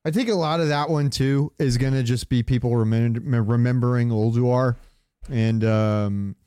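A man's voice: mid-range voice, steady tone, moderate pace, dark tone, clean tone, no echo, clean studio sound. A man co-hosting a podcast, chatting about games in an informal, joking way. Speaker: podcast co-host. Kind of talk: casual gaming chat, ranking voice lines.

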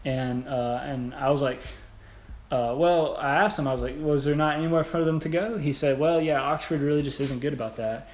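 Young man talking haltingly in an echoey room. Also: fairly high pitch, darkish tone. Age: young adult. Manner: halting, rambling, informal. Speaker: podcast co-host. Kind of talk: casual conversational podcast chat. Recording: echoey room, background noise.

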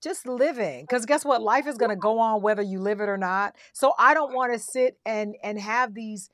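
A woman's voice: a little high, normal speed, fairly bright tone, no room sound, studio quality. A woman talking in an outspoken, playful way in a casual chat.

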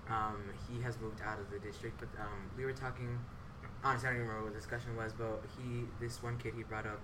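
A teenage boy, a student guest, telling stories in an animated way and laughing easily. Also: on the low side, fast talker. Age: teens. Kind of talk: casual chat. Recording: noisy room.

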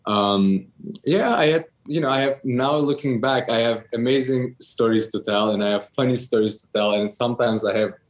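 A man's voice: medium pitch, moderate pace. A man in his thirties from Turkey, answering interview questions casually with many ums and restarts. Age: 30s. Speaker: interview guest, entrepreneur.

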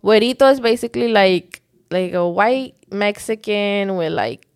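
Animated young woman chatting with laughs. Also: medium pitch, normal speed, smooth. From United States. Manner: animated, laughing, frequent likes. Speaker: guest. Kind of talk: casual conversation.

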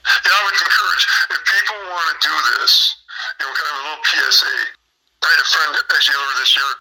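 A man's voice: a little high, quick pace, sharp tone, husky, low-quality recording. A man in his fifties from Illinois, telling his personal story as a podcast guest and often repeating words as he restarts. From Illinois, USA. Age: 50s.

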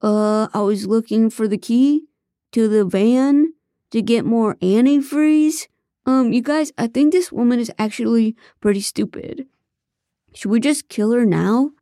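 A woman voicing a sleazy, self-pitying male henchman who whines to justify himself, pitched high. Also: measured pace, dark tone, no echo, studio quality, natural voice.

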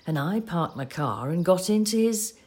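An actress playing a patient, practical elderly wife in an audio play, explaining things in a fond, conversational way. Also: female voice, on the low side, expressive, normal speed, close to an everyday voice. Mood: reminiscing. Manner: conversational, gently explaining, reassuring. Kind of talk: audio play acting with reflective narration.

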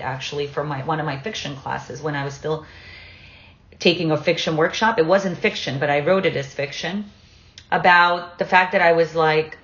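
Woman w a deep-ish voice chatting about her own life, stop-start phrasing, echoey muffled audio. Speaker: interview participant, writer and parent. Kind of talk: personal, conversational talk.

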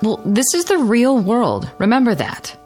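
An actress playing an anxious, gently reproachful mother and wife in a radio drama. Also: a little high, wildly swooping pitch, a little slow, faint music behind. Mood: dry, reproachful.

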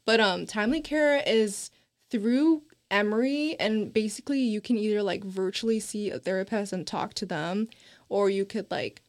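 A woman's voice: mid-range voice, moderate pace. A woman in her twenties, a college student chatting casually on a panel, talkative and emphatic with frequent laughs. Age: 20s. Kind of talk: casual group chat.

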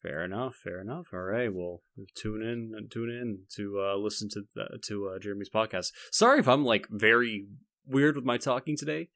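A man's voice: fairly low pitch, moderate pace, fairly bright tone, no echo, studio quality. A man hosting a podcast in casual chat, talking in a rambling, hesitant way. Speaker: podcast host.

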